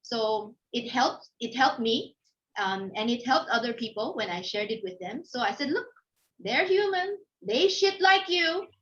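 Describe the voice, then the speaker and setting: A middle-aged woman from the Philippines with a Filipino accent gives an informal motivational talk, telling personal anecdotes in an animated way and acting out thoughts and remarks. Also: a little high, a little slow. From Philippines (Manila). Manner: animated storytelling, acts out inner thoughts and quoted remarks. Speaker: guest speaker, company founder. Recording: dull, lo-fi audio.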